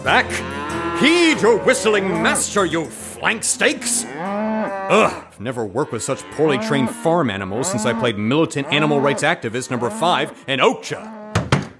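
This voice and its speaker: A man's voice: fairly high pitch, big pitch swings, measured pace, over loud music. Man playing a theatrical, self-important actor with swooping delivery. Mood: blustering. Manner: grandiose, dramatic, earnest. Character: self-important, theatrical male actor turned undercover spy. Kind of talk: scripted comedy audio drama acting.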